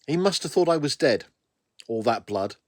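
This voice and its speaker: A man's voice: medium pitch, animated, moderate pace, no room sound, studio quality, natural voice. A British man voicing a wounded, stubborn young woman paramedic in a wry, musing first-person audiobook narration. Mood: pained, wry. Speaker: author reading own story.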